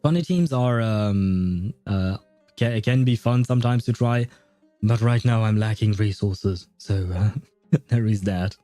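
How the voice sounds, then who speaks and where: A man's voice: lowish voice, unhurried, warm tone, no echo, soft background music. A man livestreaming a video game, chatting casually with viewers in a conversational, meandering way.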